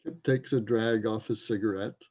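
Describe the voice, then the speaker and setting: A man's voice: lowish voice, normal speed, a little mellow, breathy edge. A man narrating a play, reading out scripted stage directions.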